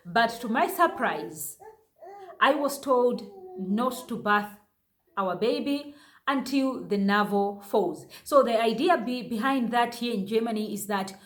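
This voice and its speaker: A young woman from Zambia speaks to her viewers in a slightly high voice and at an unhurried pace. She talks casually, full of fillers, restarts and asides to her audience, like someone sharing a personal story as a new mother. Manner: chatty, many fillers and restarts, addresses viewers as guys.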